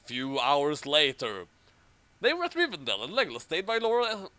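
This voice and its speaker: Higher-pitched man, swooping dramatic reader. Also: huge pitch swings, normal speed, bright, forceful tone, smooth, no room sound. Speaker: amateur fan fiction reader and commentator. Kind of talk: mock-dramatic reading of bad fan fiction with casual asides.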